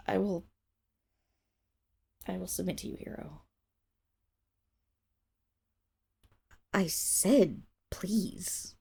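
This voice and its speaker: A woman acts out a proud tsundere wolf-girl of noble birth in an intimate ASMR roleplay. Her voice is breathy and husky with a creaky edge, at an ordinary female pitch. She speaks in haughty, formal phrases that trip into stammers and sink toward whispers. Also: warm tone, exaggerated voice. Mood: pleading. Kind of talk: ASMR roleplay acting, addressed to the listener.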